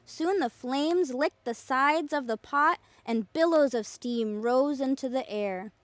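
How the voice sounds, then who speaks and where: A woman reading a children's storybook aloud as a playschool storyteller, expressive, deliberate and emphatic. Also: high voice, no room sound.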